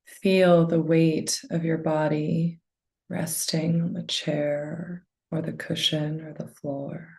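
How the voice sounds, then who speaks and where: A woman leading a guided meditation with gentle, unhurried phrasing and a soft, soothing delivery. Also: low-pitched, level tone, creaky.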